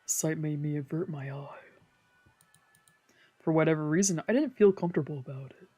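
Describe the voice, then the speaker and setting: Mellow voice reading a story over music. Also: mid-range voice, a little fast, slightly rough, dull audio. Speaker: streamer reading a visual novel aloud. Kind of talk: scripted narration, reading aloud. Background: background music from a video game.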